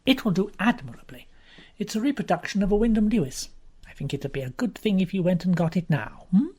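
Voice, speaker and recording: A woman reading an audiobook, voicing a cool, sensible woman with a calm, brisk and kindly manner. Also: medium pitch, breathy edge, voice pushed up.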